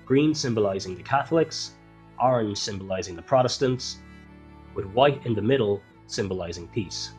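A man's voice: mid-range voice, a little mellow. Solemn Irish male storyteller. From Ireland. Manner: measured, solemn storytelling with dramatic pauses. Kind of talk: scripted historical narration.

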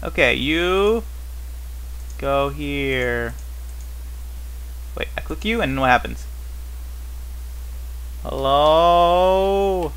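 A man's voice: a little high, expressive, drawn-out words, long pauses, fairly bright tone, clean tone, poor audio. A man giving casual, unscripted gameplay commentary as host, rambling and thinking aloud with wry, puzzled questions to himself. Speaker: host of a gaming series.

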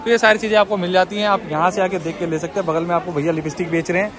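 Male street-market vlogger, high voice, rapid casual patter over clear crowd bustle. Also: steady tone. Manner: repeats prices to the viewers, addressing them as brother. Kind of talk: casual market walk-through vlog. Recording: busy outdoor market crowd behind voice.

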